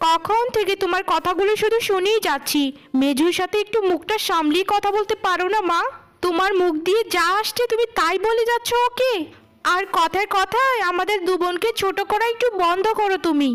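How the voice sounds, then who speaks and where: Woman voicing a very shrill, sharp-tongued jealous big sister. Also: brisk. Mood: indignant. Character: jealous, quarrelsome eldest sister, young woman. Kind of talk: cartoon story acting.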